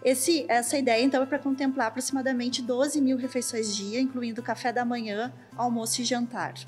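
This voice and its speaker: High-voiced Brazilian woman explaining. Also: fairly even intonation, fairly quick, a little mellow, soft background music. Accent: Brazilian Portuguese. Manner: explanatory, uses filler "né". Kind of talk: on-site interview. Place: large empty industrial kitchen. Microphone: handheld reporter mic.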